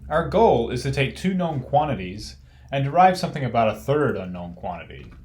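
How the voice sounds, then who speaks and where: A man reading a technical course lecture in a measured, explanatory way. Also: medium pitch, sing-song, a little mellow, slight background noise.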